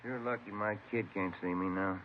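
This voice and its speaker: A man's voice: lowish voice, fairly even intonation, normal speed, narrow, tinny audio, lightly acted. A man playing a wry, conversational police detective partner in a 1950s radio drama. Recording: thin vintage radio recording, faint noise.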